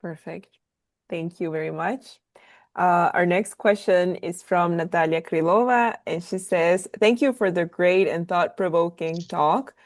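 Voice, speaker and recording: A woman's voice: lowish voice, unhurried. A woman moderates a webinar, polite and upbeat as she reads audience questions aloud. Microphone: video call.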